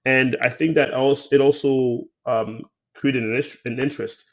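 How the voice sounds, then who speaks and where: A man from Haiti, a filmmaker guest, telling his life story in a thoughtful, soft-spoken way with many pauses and "um"s. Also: medium pitch, steady tone, muffled recording. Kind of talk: interview storytelling.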